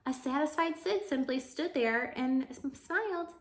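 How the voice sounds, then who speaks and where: A woman teacher reading a rhyming children's storybook aloud with expressive narration. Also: high voice, measured pace. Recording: echoey, roomy sound.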